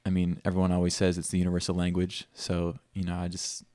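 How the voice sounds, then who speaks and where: A young man from Minnesota with a very low voice talks in an easy, thoughtful way, pausing on fillers as he answers questions. The sound is dry and close, with no room echo. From Minnesota, USA. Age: 20s. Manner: relaxed, thoughtful, trailing fillers. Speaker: pianist guest. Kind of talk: casual conversational interview.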